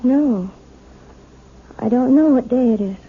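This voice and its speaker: An actress playing a timid, wounded wife in 1970s radio drama, restrained and hesitant. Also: female voice, fairly high pitch, slow pace, slightly rough, phone-quality sound, full character voice. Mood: entranced. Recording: thin, noisy old radio broadcast recording.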